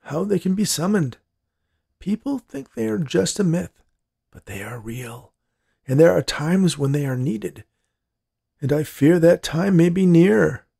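A man voicing a frail, dying old grandfather in a story, hoarse, grave and urgent. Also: on the high side, animated, measured pace, pauses often, mellow, pitched above the performer's own voice, heavily acted. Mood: grave, fearful. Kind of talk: storybook character voice in narration.

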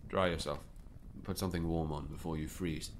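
Weary, commanding king for an audiobook, played by a male narrator: low voice, grave formal delivery. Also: fairly even intonation, a little fast, noisy background. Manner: formal, grave, archaic phrasing. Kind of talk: audiobook character dialogue.

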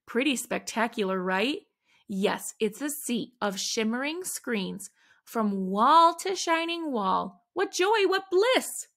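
A woman reading a picture book aloud to children, at an unhurried, deliberate pace. Her voice sits a little high and sounds bright, with a gravelly edge. She leans into the big moments with animated, dramatic emphasis. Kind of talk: expressive read-aloud storytelling.

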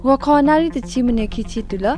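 A woman's voice: fast, darkish tone. A woman reading a scripted station announcement as a radio announcer. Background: faint music.

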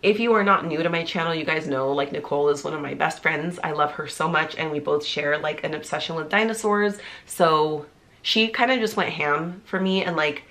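A woman's voice: low voice, moderate pace, a bit bright. A woman in her thirties chatting to camera in a casual vlog, bubbly and talkative, her voice nasal and congested from a cold. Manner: bubbly, talkative, lots of "like" fillers. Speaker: vlogger. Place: small indoor room, seated before a pink wall.

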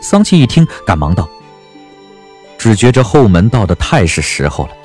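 A male audiobook narrator with a fairly low voice. He tells the story in measured, expressive phrases with lively intonation, over faint music. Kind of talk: scripted dramatic storytelling narration.

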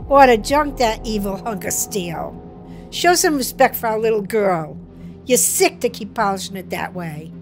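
A woman's voice: mid-range voice, animated, normal speed, soft background music, lightly acted. A woman voicing a scolding, scornful, fed-up mother and wife in a motion comic. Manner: nagging, scornful. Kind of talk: comic dialogue acting.

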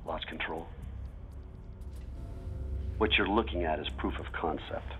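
An actor playing a grave, defiant military major in a film, cold and measured, heard over a video link. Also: male voice, sits low, fairly bright tone, slight background noise. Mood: cold defiance. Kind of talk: film acting, dramatic dialogue. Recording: tinny band-limited video link.

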